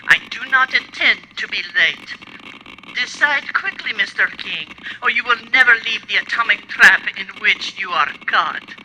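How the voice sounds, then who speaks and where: A woman's voice: mid-range voice, pushed and bright, slight background noise, rough recording. A woman playing a menacing, gloating villain mastermind in old-time radio serial style, theatrical and imperious.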